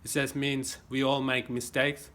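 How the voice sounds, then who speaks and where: Bright-voiced Australian man rambling to his phone. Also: medium pitch, little pitch movement, measured pace. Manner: rambling, folksy advice with many fillers and restarts. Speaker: vlogger. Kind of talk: casual rambling monologue to a phone camera. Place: home gym. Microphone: phone camera.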